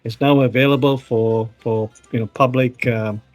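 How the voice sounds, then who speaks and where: A man's voice: medium pitch, steady tone, normal speed, no room sound, faint music behind. A man from Malaysia co-hosting a casual tech show, talking loosely with many fillers while demoing his screen over a video call. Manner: chatty, many fillers, laughs easily.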